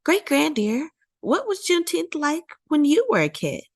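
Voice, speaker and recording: A woman's voice: sits high, wildly swooping pitch, moderate pace, a little mellow, voice pushed up, heavily acted. A woman voicing a curious young great-grandson in a children's storybook. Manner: eager questioning, groaning. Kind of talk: children's storybook character reading.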